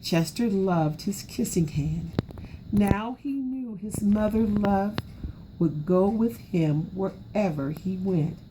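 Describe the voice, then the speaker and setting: A woman's voice: medium pitch, takes it slowly, background noise. A woman narrating a children's storybook aloud expressively, as an educator would.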